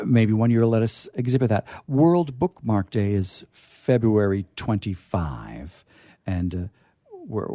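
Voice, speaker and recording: A man's voice: lowish voice, dark tone, dry recording, clean studio sound. A man hosting a casual radio talk, chatting in a whimsical way and tending to repeat his phrases. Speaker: radio show host. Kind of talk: casual chat, promoting book events.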